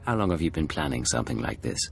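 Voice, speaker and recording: A cool, sardonic secret agent from a sci-fi game, speaking in a low, creaky voice. His measured, dry delivery swings widely in pitch. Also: sing-song, a little hiss or hum, full character voice. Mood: dry, controlled. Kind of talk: scripted video game dialogue.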